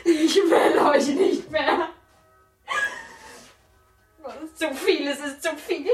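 Echoing, high-voiced, anguished young wife. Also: female voice, far from the mic. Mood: anguished, bitter. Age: young adult. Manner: anguished, halting, emotionally raw. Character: distraught, disgusted young wife and mother, bitterly defiant. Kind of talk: German radio play acting.

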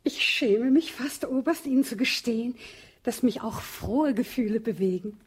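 An older spinster lady, gushing, slyly ironic, breathy, a little high, radio play. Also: moderate pace. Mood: sympathetic. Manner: effusive, warm-hearted condolences with sly irony. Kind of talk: 1960s radio drama acting.

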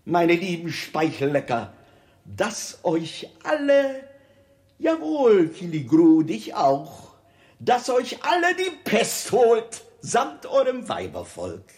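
A contemptuous, melancholic monarch in a 1960s radio play. He savours his words theatrically and slowly, the pitch rising and plunging with royal disdain. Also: huge pitch swings, darkish tone, heavily acted. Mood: scornful, raging. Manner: pompous, theatrical, savouring each phrase.

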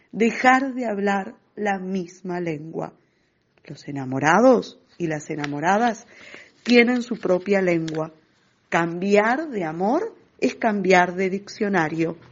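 A woman radio presenter reading a poem and an author introduction in a measured, reflective way. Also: lowish voice, darkish tone. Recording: muffled lo-fi radio broadcast.

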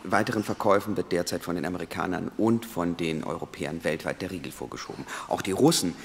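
An actor playing a calm, analytical investigator who explains things in a deliberate, measured way in a radio drama. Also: male voice, low voice, gravelly. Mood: thoughtful. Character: calm, analytical male investigator confiding his findings. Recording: reverberant space, faint background noise.

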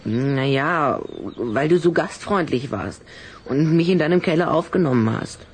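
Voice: medium pitch, lively intonation, unhurried, slightly rough. An actor playing a friendly little vampire boy in an audio drama, speaking in a matter-of-fact, coaxing way.

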